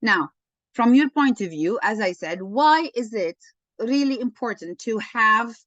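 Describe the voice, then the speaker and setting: A woman leading an online teaching session, slow and encouraging, often thanking participants. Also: on the high side, animated, fairly bright tone, no room sound. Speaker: webinar lecturer. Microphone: video call. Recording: dull online call audio.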